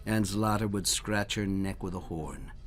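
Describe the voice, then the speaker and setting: A man reading storybooks aloud for children in a dramatic, expressive way, at times dropping to a hush. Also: low-pitched, a little slow, slight background noise. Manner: dramatic, dropping to hushed near-whispers. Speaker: storybook reader.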